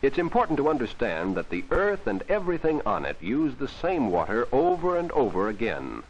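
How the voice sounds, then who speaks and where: A man's voice: mid-range voice, huge pitch swings, moderate pace, a little mellow, breathy edge, muffled recording. A man narrating a vintage 1940s educational film for children, explaining things simply and instructively on an old film soundtrack.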